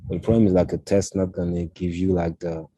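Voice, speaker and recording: Deep dark-voiced man. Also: flat delivery, slightly rough, no room sound. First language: French. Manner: halting, searching for words, many "uh"s. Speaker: fashion designer guest. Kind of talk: casual interview chat.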